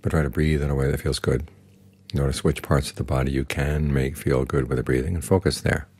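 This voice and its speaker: An older man, a Buddhist monk, giving a slow, calm, plainspoken guided meditation talk. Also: extremely low pitch, husky, no echo. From United States.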